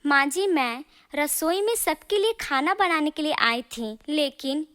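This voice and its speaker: Woman acting huffy, bright high-pitched daughter-in-law. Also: expressive, measured pace. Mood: complaining. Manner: complaining, defensive. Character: argumentative young daughter-in-law, defensive and huffy. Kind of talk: animated moral story dialogue.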